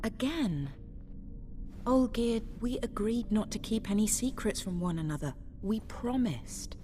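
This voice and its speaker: A woman playing a tender but exasperated young noble wife in a video game, speaking softly and with measured care. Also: on the high side, lively intonation, slight background noise. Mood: hurt, insistent. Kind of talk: dramatic video game acting.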